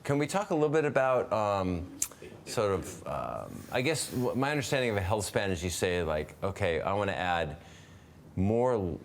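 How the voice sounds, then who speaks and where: Deep-voiced man in his fifties hosting. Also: big pitch swings, normal speed, a little hiss or hum. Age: 50s. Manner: thinks aloud with restarts and fillers, asks questions. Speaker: webinar host. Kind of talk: conversational interview.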